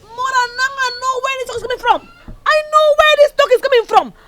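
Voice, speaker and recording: An actress playing a headstrong young woman who is quick to pick a fight. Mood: indignant. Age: young adult. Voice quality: high, animated Nigerian Pidgin-tinged speech.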